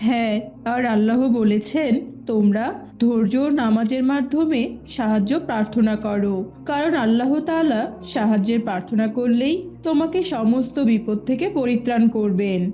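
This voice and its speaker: A pious young schoolboy in a moral cartoon, played by a woman. He speaks in a high voice, earnest and persuasive. The recording is dull and lo-fi. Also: normal speed, lightly acted. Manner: earnest, explanatory. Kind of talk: Islamic moral cartoon dialogue. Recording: dull lo-fi audio.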